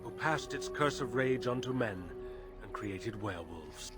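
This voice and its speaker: An actor playing a grave elven storyteller in a video game, speaking in measured, formal phrases with solemn weight. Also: male voice, on the low side, animated, music in the background. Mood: solemn, ominous. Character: grave elven storyteller warning of a cursed forest. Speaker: lore-telling game character. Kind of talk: dramatic video game dialogue.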